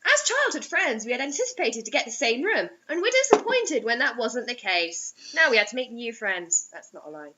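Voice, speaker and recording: A woman's voice: fairly high pitch, big pitch swings, a little fast, few pauses, muffled recording, very noisy. A woman reading fan fiction aloud for comedy, breaking off into sarcastic, mock-dramatic asides.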